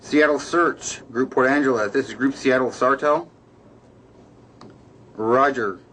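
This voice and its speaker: A male Coast Guard dispatcher, played by an actor, speaking in clipped, procedural radio phrases. The voice is medium in pitch and businesslike, with faint noise behind it. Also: a little slow, a bit bright, natural voice, rough recording. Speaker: rescue dispatcher. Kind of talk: dramatized official radio traffic.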